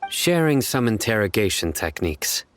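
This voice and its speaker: A man playing a stern, menacing enforcer in a video game, terse and deadpan. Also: lowish voice, normal speed, background noise. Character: stern, menacing male enforcer of few words.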